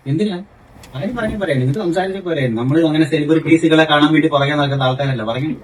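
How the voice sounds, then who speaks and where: A man's voice: a little high, fast. A man from Kerala with a local accent, talking casually in a sarcastic, confrontational way on a noisy recording. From Kerala, India. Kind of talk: casual critical commentary and phone argument.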